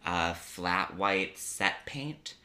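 A man's voice: low-pitched, animated, drawn-out words, sharp tone. A man hosting a casual podcast chat in an apartment, with a campy manner, often trailing off and restarting. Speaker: podcast host.

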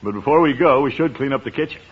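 A man playing a blustery, bumbling middle-aged uncle with a drawn-out, chuckling delivery in an old radio comedy. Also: medium pitch, breathy edge, close to an everyday voice. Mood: flustered, bossy. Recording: muffled, noisy vintage radio recording.

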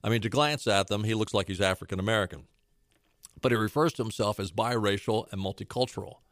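A man's voice: on the low side, quick pace, a bit bright, no room sound, studio quality. A man hosting a political talk show, giving emphatic, sarcastic commentary that hops between points.

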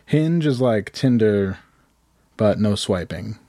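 A man with a low, hoarse voice tells a rambling, self-deprecating story in a relaxed, mellow tone. His voice is close and dry, with no room sound. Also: slow, no echo. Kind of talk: casual comedic storytelling.

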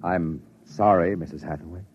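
Actor as very deep-voiced, grave captain. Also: male voice, over loud music, close to an everyday voice. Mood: solemn. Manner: authoritative, solemn, deliberate. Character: grave, commanding space captain. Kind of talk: classic radio drama acting. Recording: muffled, hissy old radio broadcast recording.

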